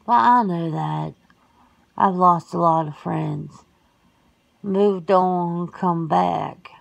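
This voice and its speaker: A woman's voice: fairly low pitch, extremely slow, creaky, lo-fi sound, heavily acted. A woman playing a folksy, plain-spoken werewolf sister in an ASMR roleplay, talking casually and colloquially. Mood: frank, reflective.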